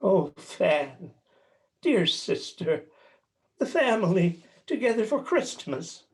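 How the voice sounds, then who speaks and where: A man's voice: sits high, sing-song, slow, heavily acted. A man playing an old miser emotionally revisiting his past, exclaiming as he goes, in a radio play. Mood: wistful.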